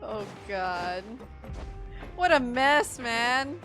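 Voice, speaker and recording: Girl streamer chatting over a game, kinda high voice, takes her time, jokey slang, faint music. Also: sing-song, drawn-out words, a bit bright. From Philippines. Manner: playful, slangy. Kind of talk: casual live gameplay commentary.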